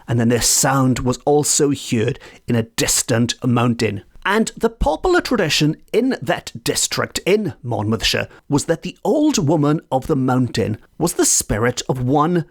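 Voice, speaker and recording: Man from Wales, Welsh speaker, podcast host, animated storyteller, fairly high voice, swooping pitch. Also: measured pace. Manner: lively, animated storytelling with playful asides. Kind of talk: folklore podcast narration. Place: home in a residential area.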